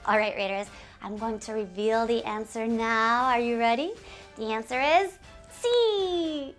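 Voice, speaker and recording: A woman with a fairly high voice presents a community video series about a game. She is animated and giggly and talks straight to her viewers, mixing scripted lines with asides. Faint music plays behind her. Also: a little slow.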